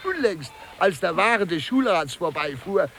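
Jovial, indulgent provincial gentleman, high-pitched storyteller, vintage radio drama with light background noise. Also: big pitch swings, measured pace, creaky, exaggerated voice. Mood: amused, indulgent. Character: jovial, indulgent small-town gentleman telling tavern anecdotes. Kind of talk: 1950s German radio play acting.

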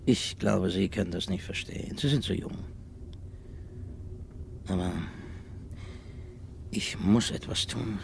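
An older, timid former cashier in a 1950s radio drama. His voice is lowish and gravelly, full of weary brooding and formal self-reproach. The old recording is clearly noisy. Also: slow, long pauses, heavily acted. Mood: resolved. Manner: ruminating, self-deprecating, formal.

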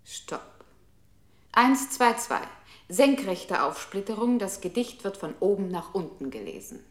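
A woman's voice: medium pitch, sing-song, measured pace. A woman playing a computer's control unit in a 1960s German experimental radio drama, announcing numbers and commands in a clipped, formal manner. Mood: impersonal, procedural.

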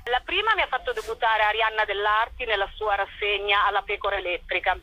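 Bright-voiced woman telling stories. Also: medium pitch, fairly quick, dry recording, rough recording. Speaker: guest, playwright and performer. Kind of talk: casual interview answers, storytelling.

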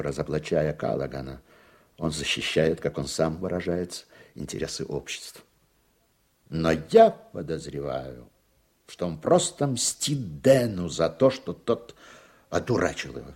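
An actor playing a weary, thoughtful older judge in a radio play, speaking in a reflective, deliberate way. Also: male voice, a bass voice, animated, darkish tone, husky, exaggerated voice. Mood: troubled, reflective. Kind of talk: Russian radio play acting.

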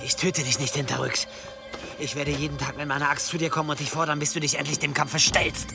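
A man's voice: fairly high pitch, flat delivery, a little fast, creaky, music in the background. An actor in a radio play voicing a bitter young medieval man bent on revenge, intense and defiant. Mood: determined.

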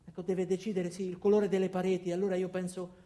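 A man's voice: high-pitched, flat delivery, quick pace, mellow, close to an everyday voice. An actor playing an anxious, bewildered ordinary man who stammers through a theatrical radio monologue in speech. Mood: uneasy, wry. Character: anxious, bewildered ordinary man recounting his own trial. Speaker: narrator and lead actor. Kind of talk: theatrical radio monologue acting out several characters.

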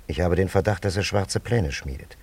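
An actor playing a dignified, pleasure-loving time traveller from an ancient land in a radio drama, with a gravelly, husky voice and lofty, formal diction. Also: male voice, deep voice, moderate pace, no echo. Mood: confiding, suspicious. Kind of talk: German radio drama acting.

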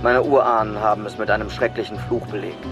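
Male actor as solemn, ominous giver of cursed gifts, radio drama. Monotone, music behind. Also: fairly low pitch, fast, lightly acted.

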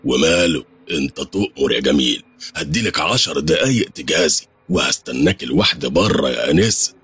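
A man's voice: extremely low pitch, expressive, fairly quick, fairly bright tone, slightly rough, heavily acted. A man doing a smug, menacing Egyptian thug. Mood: mocking menace. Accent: Egyptian Arabic. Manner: mocking, menacing.

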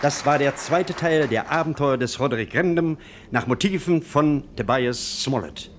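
A man's voice: mid-range voice, normal speed, crowd noise, background noise, natural voice. A man announcing a radio drama in a theatrical, scripted style.

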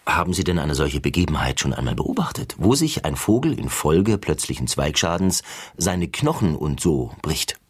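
An actor playing a wordy, mock-polite, sceptical interviewer in a satirical radio sketch. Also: male voice, very deep voice, big pitch swings, normal speed, husky, exaggerated voice. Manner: wordy, circumlocutory. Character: sceptical, long-winded male interviewer, mock-polite and probing.

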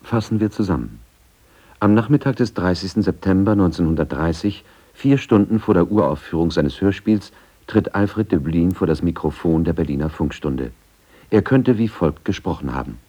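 A man's voice: deep voice, expressive, normal speed, dark tone, slightly rough. A man narrating a scripted radio documentary.

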